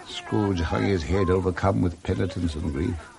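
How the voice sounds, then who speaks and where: A man with a British accent narrating a 1960s radio drama in a measured, expressive storytelling way. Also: low-pitched, gravelly. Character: male storyteller narrating a Victorian Christmas tale.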